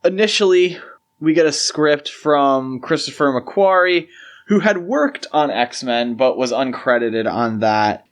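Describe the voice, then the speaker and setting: A male podcast co-host talks through films in a casual, explanatory manner. His voice sits at an average pitch, and his phrasing is loose and conversational, peppered with filler words. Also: expressive, slow pace. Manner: conversational, explanatory, uses "like" and "you know" often. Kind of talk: casual film discussion podcast.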